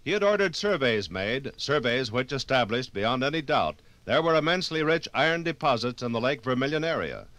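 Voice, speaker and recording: A man's voice: medium pitch, sing-song, a little fast, hardly any pauses, fairly bright tone, dry recording. A man narrating a 1950s radio documentary as a formal storytelling announcer.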